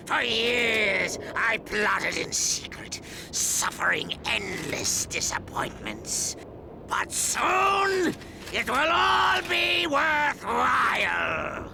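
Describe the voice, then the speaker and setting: Gravelly maniacal robot villain. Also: male voice, very high voice, big pitch swings, very slow, background noise, exaggerated voice. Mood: grandiose, maniacal. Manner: pompous theatrical declaiming with bursts of shouting. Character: maniacal villainous robot doctor, grandiose and gloating. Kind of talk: cartoonish video game villain acting.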